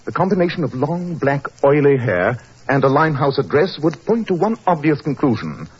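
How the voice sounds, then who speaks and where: Incisive English detective, animated delivery. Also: male voice, on the high side, moderate pace, slightly rough. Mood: assured, analytical. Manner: incisive, precise, commanding. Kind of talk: 1940s radio acting. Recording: dull old 1940s radio recording.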